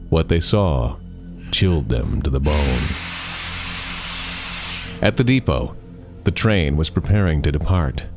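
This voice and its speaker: A man reading a scary story as a storyteller, with suspenseful, deliberate dramatic phrasing. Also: deep voice, darkish tone.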